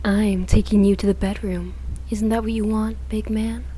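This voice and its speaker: A woman's voice: medium pitch, little pitch movement, moderate pace, mellow, slight background noise, close to an everyday voice. A woman playing a seductive, possessive she-devil with a teasing manner in a comedy-horror audio drama. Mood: flirtatious. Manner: flirtatious, teasing.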